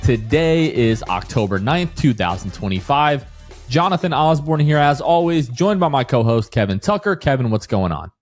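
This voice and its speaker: A man's voice: medium pitch, lively intonation, normal speed, soft background music. A man co-hosting a sports podcast in a casual, conversational style.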